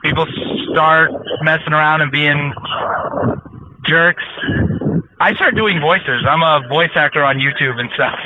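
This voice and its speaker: A man chatting casually as a guest over a remote line, halting and informal. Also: a little high. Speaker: truck driver guest, amateur voice actor. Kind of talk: casual chat. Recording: muffled, noisy radio-like remote line.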